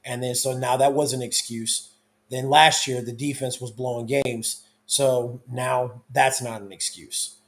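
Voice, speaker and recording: Hoarse man hosting sports talk. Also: mid-range voice, steady tone, a little slow. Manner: loose, conversational, with many restarts. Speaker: sports talk show host.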